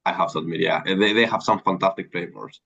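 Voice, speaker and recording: Greek-accented man, low voice, muffled sound. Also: fast, vocal fry, bright, forceful tone, breathy edge. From Greece. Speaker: guest. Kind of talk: career interview. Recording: dull lo-fi sound.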